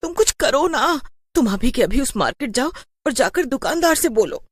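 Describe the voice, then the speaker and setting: A woman acts out an alarmed, scolding wife in a cartoon horror story. She orders her husband around in a high, exclamatory voice, urgent and nagging, with a dry studio sound. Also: sing-song, moderate pace, breathy edge, no room sound, full character voice.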